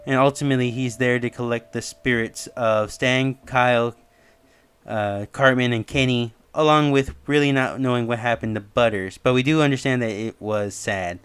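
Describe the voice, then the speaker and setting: A man's voice: medium pitch, moderate pace, fairly bright tone, clear, resonant tone, no echo. A man giving casual, rambling explanatory commentary as the host of a theory video. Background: music under the voice.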